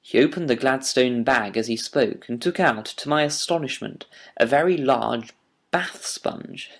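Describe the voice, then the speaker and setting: Medium-pitched man narrating an audiobook. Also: unhurried, gravelly, breathy edge.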